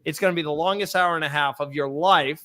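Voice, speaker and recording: Man, young adult, hoarse, bright forceful tone, chatty podcast host. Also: on the high side, fast talker. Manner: chatty, upbeat, reads viewer comments aloud. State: hoarse, recovering lost voice. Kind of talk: live podcast chat.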